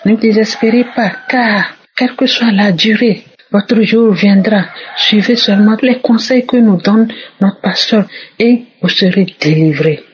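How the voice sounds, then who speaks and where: A woman gives a personal testimony in an emphatic way, going over her phrases again and again. Her voice sits at a middle pitch. The recording is muffled and lo-fi, with faint noise behind it. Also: unhurried.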